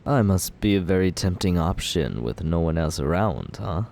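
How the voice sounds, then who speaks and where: A man playing a deep-voiced cocky young villain. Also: animated, normal speed, vocal fry, darkish tone. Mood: teasing. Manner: teasing, self-justifying, laid-back. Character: cocky young male villain courting the listener. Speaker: ASMR roleplay performer. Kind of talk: ASMR roleplay.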